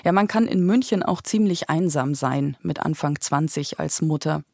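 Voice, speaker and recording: Low-voiced woman narrating a podcast, dry and close. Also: moderate pace, darkish tone, dry recording. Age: 30s. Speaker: podcast host.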